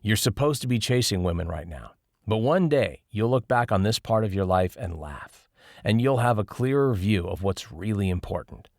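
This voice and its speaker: A male narrator plays a middle-aged man in an audiobook, assertive and generous. His low voice is matter-of-fact as he talks to younger men, in a dry recording. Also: lively intonation, normal speed, a little mellow, dry recording. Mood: worldly, advising. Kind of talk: audiobook character dialogue.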